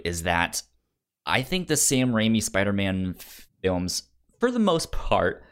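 A man co-hosting a podcast, discussing films casually in a halting, informal way. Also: lowish voice. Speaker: podcast co-host.

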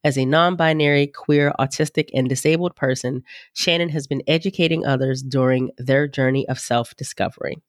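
A woman's voice: a bass voice, steady tone, moderate pace, no echo, clean studio sound. Congested, nasal woman podcast host. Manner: chatty, rambling, folksy, addresses listeners as y'all. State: congested, nasal from sinus infection. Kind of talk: casual podcast intro chat.

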